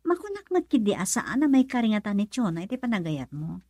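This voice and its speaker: A woman's voice: medium pitch, quick pace, no room sound. An actress playing an emotional young woman, a questioning close friend, with a Filipino accent in a radio drama. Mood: earnest, urging. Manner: expressive, probing with many questions.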